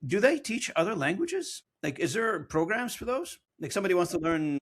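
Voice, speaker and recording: A man's voice: high-pitched, very animated, a little fast. Man hosting, searching for words. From Lebanon. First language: French. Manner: rambling, repeats words while searching. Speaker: podcast host. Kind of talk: casual interview chat.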